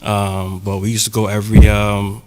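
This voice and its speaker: A low-voiced man from Brooklyn who tells loose, rambling stories in a casual interview chat. Clear noise and clicking run underneath the recording. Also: completely flat delivery, moderate pace, darkish tone. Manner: loose, rambling, laughs easily. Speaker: sound system selector. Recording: noisy recording with background clicks.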